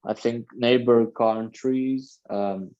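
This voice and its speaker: A man's voice: mid-range voice, fairly even intonation, slow, darkish tone, dull audio. A man from Romania chatting casually, earnest and rambling, often tagging "right?" and "you know". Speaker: NFT community host. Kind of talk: casual chat.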